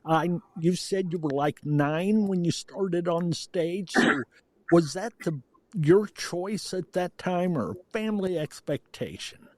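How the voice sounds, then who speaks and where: A man's voice: sits high, takes it slowly, dry recording. A man hosting a podcast, giving introductions and asking interview questions. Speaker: podcast host.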